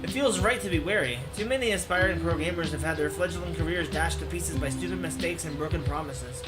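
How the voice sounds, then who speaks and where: A man with a high-pitched voice hosts a playthrough of a story game. He reads the text aloud and slips into casual commentary, with music playing clearly behind him. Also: fairly quick, rough recording. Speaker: let's play host reading a visual novel aloud. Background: background music.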